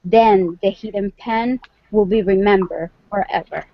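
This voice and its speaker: A woman's voice: medium pitch, a little slow, no room sound. A woman speaking as a guest poet on an online livestream. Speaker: guest poet and volunteer editor. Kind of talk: poetry reading and casual discussion on a livestream. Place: indoors on an online group call. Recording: dull lo-fi online call audio.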